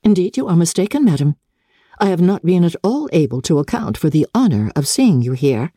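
A woman voicing a proud, composed young gentlewoman in a storybook reading, politely firm and coolly defiant. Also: on the low side, big pitch swings, husky, no echo, studio quality, voice pushed up. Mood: polite surprise. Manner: cool, measured, politely firm.

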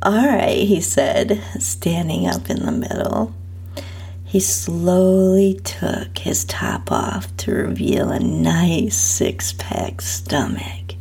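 A woman reads a first-person story very slowly, in a hushed and sultry way. Her voice is strongly breathy and husky, dipping into creaky fry. The delivery is intimate, like close storytelling. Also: fairly low pitch. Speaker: host and narrator of own story podcast.

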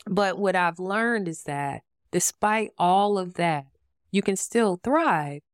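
A woman hosting a podcast and talking casually on her own. Her voice is raspy from allergies, and she chats with plenty of fillers and asides. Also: medium pitch, expressive, slow, background noise. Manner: chatty and conversational, with frequent fillers and asides. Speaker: podcast host. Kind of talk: casual solo podcast monologue.

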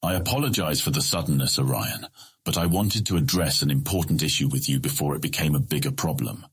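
An actor playing a scheming, politely persuasive male merchant guildmaster in a fantasy audiobook, formal and measured in manner. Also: a bass voice, husky, full character voice. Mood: courteous, businesslike. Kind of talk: full-cast fantasy audiobook acting.

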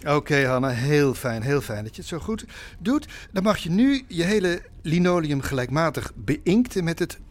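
An actor playing a gentle, eccentric, earnest craft enthusiast, patiently instructing in an audio drama. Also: male voice, fairly high pitch, very animated, normal speed. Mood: encouraging. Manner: patient, instructive, childlike wording. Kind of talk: naturalistic Dutch audio drama acting.

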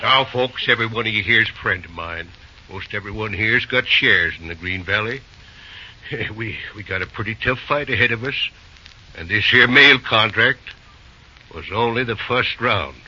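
A man's voice: on the low side, bright, forceful tone. Folksy, flustered old railroad man. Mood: proud. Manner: rustic drawl, halting, repeating himself. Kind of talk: old-time radio drama acting. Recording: dull, hissy old radio recording.

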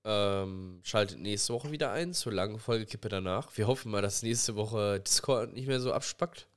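A man's voice: lowish voice, normal speed, clean tone. A young man with a cold, co-hosting a casual podcast, rambling with lots of fillers. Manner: rambling, filler-heavy, colloquial. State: has a cold. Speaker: podcast co-host. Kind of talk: casual chat over a voice call. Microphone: recording mic, directly connected.

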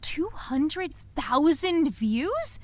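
A woman's voice: sits high, big pitch swings, drawn-out words, a little hiss or hum, heavily acted. A woman playing a kind-hearted, easygoing pet shop worker in a naturalistic audio drama, casual and eager to please. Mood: chatty, upbeat.

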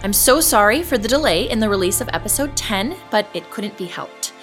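A woman's voice: mid-range voice, expressive, fairly quick, a bit bright, close to an everyday voice. Determined woman podcast host over music acted. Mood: grateful, sincere. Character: determined female podcast host defying a stalker. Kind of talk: audio drama podcast announcement.

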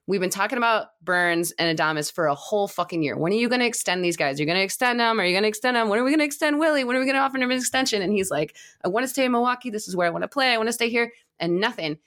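A woman's voice: mid-range voice, rapid-fire, few pauses, a bit bright. A woman chatting casually and enthusiastically as a co-host of a baseball fan podcast. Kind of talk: casual chat.

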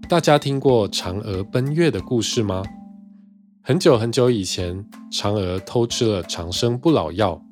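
A medium-pitched storyteller narrates a children's tale from a script. The phrasing is simple and storybook-like, with music playing underneath.

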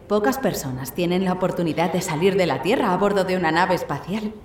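A woman's voice: on the low side, darkish tone, natural voice. A woman with an accent from Spain playing a modest, sincere astronaut captain at a press conference in an audio drama, speaking in a hesitant, conversational way. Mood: grateful, modest. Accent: Spanish from Spain. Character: overwhelmed, modest blind woman astronaut, pioneering mission captain. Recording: roomy echo, slight background noise.

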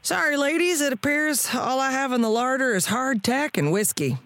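A woman's voice: high voice, expressive, normal speed, a bit bright, no echo. A woman playing a world-weary, plain-spoken radio tinkerer with a folksy frontier manner in an audio drama. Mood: weary, defeated.

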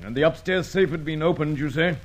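An actor playing a gruff, indignant senior police detective in an old radio serial, blustering in a husky voice. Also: male voice, a little high, fast talker, natural voice. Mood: exasperated, suspicious. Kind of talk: 1950s-60s radio serial acting.

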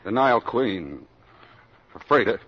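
An actor playing a surly, tough sailor who talks in gruff, rough slang in a 1950s radio drama. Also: male voice, lowish voice, sing-song, measured pace. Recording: dull old radio broadcast recording.

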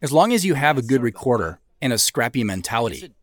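A man's voice: medium pitch, very animated, fast talker. A man hosting a director's commentary, explaining his work as an audio drama creator.

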